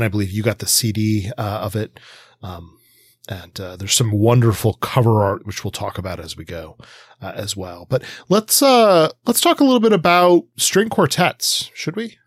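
A man co-hosting a podcast in a casual, hesitant way, with frequent ums and pauses. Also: lowish voice, dry recording, studio quality. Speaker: podcast co-host.

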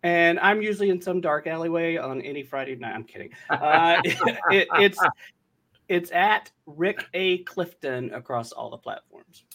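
A man's voice: fairly high pitch, animated, measured pace, sharp tone. A man speaking as a podcast guest in casual chat, playful and wisecracking. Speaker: podcast guest, audio drama producer.

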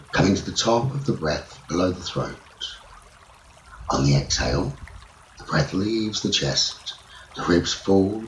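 A man leading a guided sleep meditation as a teacher, speaking in soothing, measured short phrases. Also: sits low. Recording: roomy echo, running water noise behind. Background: trickling stream water nature sounds.

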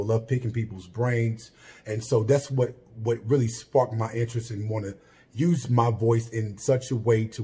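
A man hosting his own podcast, talking alone about why he started the show. He has a loud voice and speaks earnestly and with emphasis, often restarting and repeating his phrases. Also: fairly low pitch. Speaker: podcast host. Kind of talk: solo podcast monologue.

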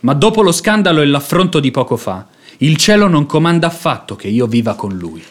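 Sanctimonious hypocrite, slightly high male voice, unctuous, measured, falsely humble, theatrical radio play. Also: sing-song. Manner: pious, unctuous, measured and self-sacrificing. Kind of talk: theatrical Italian radio drama acting.

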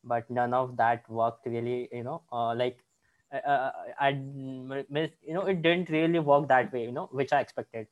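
A man in his early twenties with an Indian accent, hosting a casual chat in an informal, halting way. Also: medium pitch, fairly even intonation, muffled recording. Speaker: podcast host.

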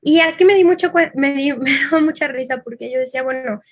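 A woman's voice: sits high, fairly even intonation, brisk, no echo, clean studio sound. A Mexican woman giving an informal lecture, talking conversationally and thinking aloud with small fillers.

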